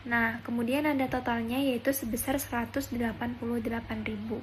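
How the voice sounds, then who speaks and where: A young woman giving a scripted presentation as a student, in a halting manner with frequent hesitations. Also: fairly high pitch, steady tone. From Indonesia. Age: young adult. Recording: background noise and room ambience.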